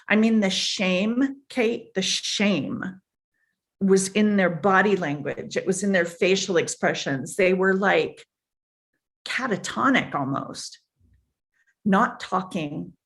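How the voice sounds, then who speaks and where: An older woman, a podcast guest and foundation founder, telling stories emphatically in a booming voice and repeating phrases as she gathers her thoughts. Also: slow pace. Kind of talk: conversational storytelling.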